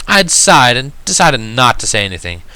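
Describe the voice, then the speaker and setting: A man giving an expressive amateur narration of a fan fiction story. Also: medium pitch, fairly quick, dry recording.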